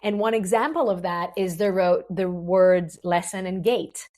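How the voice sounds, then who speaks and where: A woman's voice: on the low side, steady tone, a little slow, a little mellow. Earnest Israeli woman explaining ideas. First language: Hebrew. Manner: earnest, teaching with analogies, frequent restarts and "right?". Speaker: podcast guest. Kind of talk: explanatory interview talk.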